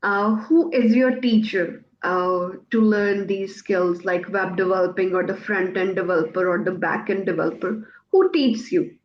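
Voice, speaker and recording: A woman's voice: mid-range voice, echoey room, highs cut off. A woman from Pakistan, a native Urdu speaker, hosting an online interview and feeling her way through her questions. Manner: slow, searching for words. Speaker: host, interviewer.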